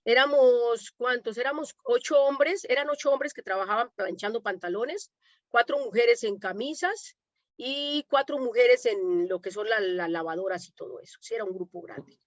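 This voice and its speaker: A Colombian woman from Medellín with a Colombian accent, a domestic worker and activist, telling her experiences in an animated conversational way, acting out the voices in her stories. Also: fairly high pitch, normal speed, dry recording, lo-fi sound. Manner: animated storytelling, acts out quoted dialogue. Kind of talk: conversational talk answering questions.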